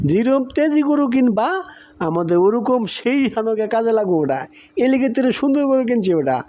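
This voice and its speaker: A man's voice: sits high, darkish tone. A man voicing a cheerful, hospitable village husband in a cartoon, chatty and insistent, with a rural Bangladeshi Bengali accent. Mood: proud, friendly. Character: cheerful, hospitable village husband, boastful about his cattle. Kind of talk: amateur cartoon dialogue.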